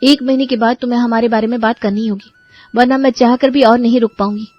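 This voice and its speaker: A woman's voice: on the high side, fairly even intonation, quick pace, no echo, lo-fi sound, lightly acted. A woman playing an earnest young girlfriend in a cartoon story, pressing for marriage. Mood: earnest, worried. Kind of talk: Hindi cartoon story voice acting.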